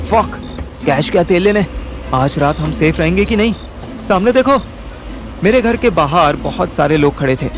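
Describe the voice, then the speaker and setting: Actor as a wry young Indian man, animated intonation, colloquial, quick-witted, audio drama narration, faint music. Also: high voice. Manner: animated, colloquial, mixes in English. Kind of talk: first-person scripted narration and dialogue, audio drama.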